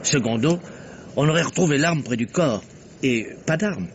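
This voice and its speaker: An actor playing a keen, talkative journalist turned amateur detective in an old French radio mystery, theatrical and reasoning step by step. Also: male voice, mid-range voice, expressive, normal speed, natural voice. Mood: brisk, reasoning. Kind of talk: mid-century French radio mystery acting. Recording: noisy, muffled old radio drama recording.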